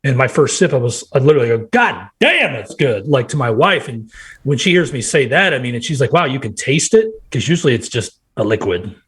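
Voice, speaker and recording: A man in his thirties from Nebraska with a nasal, hoarse voice, chatting casually as a podcast guest and telling rambling stories. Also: mid-range voice, sing-song, brisk, a bit bright. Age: 30s. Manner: rambling, quotes himself in bursts. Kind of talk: casual chat.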